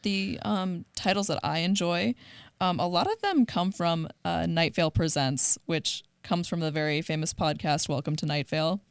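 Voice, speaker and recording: A woman co-hosting a casual discussion, speaking reflectively in measured phrases with pauses. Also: on the low side, clean tone, no room sound, studio quality. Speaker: podcast co-host. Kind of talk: casual discussion of audio dramas.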